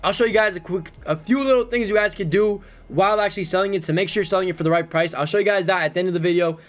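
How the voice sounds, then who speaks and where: Hoarse, fast-talking young man. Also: high-pitched, faint music behind. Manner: energetic, slangy, keeps calling viewers bro and man. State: hoarse, lost voice. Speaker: gaming YouTuber. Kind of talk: casual gameplay commentary.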